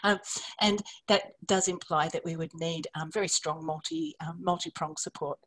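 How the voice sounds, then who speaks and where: A woman giving a measured conference presentation, explaining slides with occasional fillers. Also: low-pitched, fairly even intonation, fairly bright tone, a little hiss or hum. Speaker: academic presenter.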